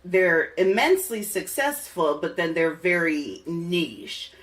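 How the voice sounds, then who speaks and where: A woman's voice: lowish voice, sing-song, takes it slowly, fairly bright tone, a little hiss or hum. A woman hosting a podcast and asking questions in a conversational interview style.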